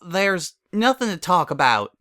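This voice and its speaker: A proud, stubborn farm mare , played by a male reader, talks in a folksy, ungrammatical country way. The voice is pushed higher and is plainspoken, in the manner of a storybook character. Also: very animated, measured pace, bright, forceful tone, no room sound, clean studio sound, full character voice. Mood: stubborn, startled. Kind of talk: dramatic fan fiction reading.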